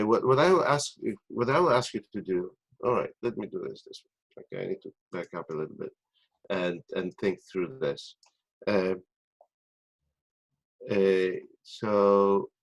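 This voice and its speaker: A low-pitched man with a Polish-American accent hosts a live teaching webinar as a doctor. He talks haltingly, with frequent restarts and filler sounds as he gathers his thoughts. He laughs easily between points. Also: low-quality recording.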